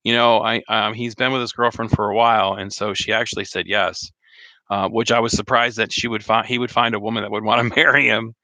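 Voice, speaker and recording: A slightly hoarse man chatting casually on a live stream, rambling with many fillers and restarts. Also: on the low side, level tone, a little fast, sharp tone, no room sound, poor audio. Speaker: RV vlogger hosting a live chat.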